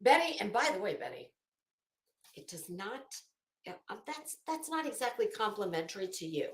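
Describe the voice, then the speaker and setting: A woman host reading aloud and adding sarcastic, emphatic, halting commentary as she goes. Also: mid-range voice, sing-song. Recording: echoey room sound.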